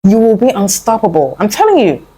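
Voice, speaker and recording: A woman's voice: mid-range voice, sing-song, brisk, dark tone, husky, poor audio. Young adult woman, motivational vlogger; coaxing, sisterly, loose and rambling delivery. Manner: earnest, coaxing, calls the listener "my love" and "honey"; rambling with frequent fillers and restarts. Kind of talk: casual motivational talk to camera.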